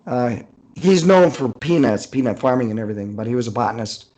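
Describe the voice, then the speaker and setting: A man's voice: medium pitch, animated, fast, a little mellow, low-quality recording. A man speaking as an author guest in a casual interview.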